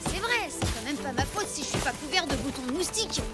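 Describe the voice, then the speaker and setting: A woman's voice: high-pitched, expressive, fast. A woman voicing an earnest, lonely little prehistoric boy in a French children's cartoon, with music behind. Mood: dejected. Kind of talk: French animated series dubbing. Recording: loud music under the voice. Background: cartoon score.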